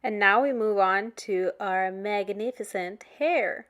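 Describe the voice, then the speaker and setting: Instructional woman with mid-pitched voice. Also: slow, fairly bright tone. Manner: clear, instructional. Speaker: art activity instructor. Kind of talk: step-by-step drawing tutorial.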